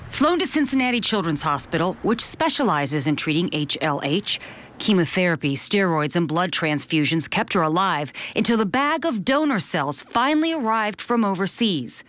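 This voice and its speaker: A woman's voice: lowish voice, very animated, normal speed, fairly bright tone. A woman news reporter reading scripted narration for a television feature.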